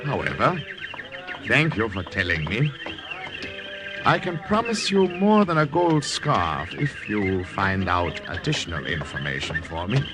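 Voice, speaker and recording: Suave, swooping officer. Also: male voice, lowish voice, very animated, a little slow. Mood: guarded. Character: suave German officer, amorous and commanding former lover. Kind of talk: old-time radio acting. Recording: vintage radio recording with music behind.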